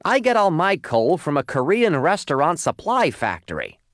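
A man's voice: fairly high pitch, huge pitch swings, normal speed, no room sound, studio quality, pitched above the performer's own voice. A man voicing a rude, scowling, dismissive female restaurant owner in a storybook.

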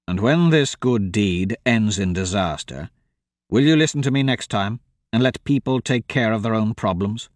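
A man voicing a cold, sardonic young male thief who talks in a dry, clipped, deadpan way. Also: fairly low pitch, moderate pace, no echo, close to an everyday voice.

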